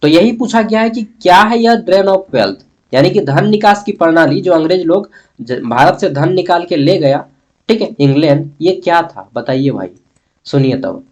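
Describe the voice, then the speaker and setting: A man with a Jharkhand Hindi accent teaches in an informal, conversational way. His voice sits a little high. He repeats key terms and puts questions to himself, then answers them. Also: animated, moderate pace. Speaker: history teacher. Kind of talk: informal exam-prep lesson.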